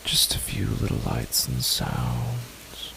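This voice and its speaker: A man voicing a grieving parent alone in a dark, silent house, speaking in a slow, hushed, dreamlike monologue for a horror story. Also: very deep voice, very animated, creaky, slight background noise, voice pushed down, full character voice, poor audio. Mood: eerie, hushed. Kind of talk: first-person horror narration.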